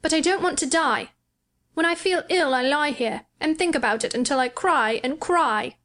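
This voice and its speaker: A woman's voice: high-pitched, moderate pace, fairly bright tone, voice pushed up. A woman reading an audiobook, voicing a sickly, spoiled ten-year-old boy who talks in a demanding, coolly indifferent way. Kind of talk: audiobook character voice.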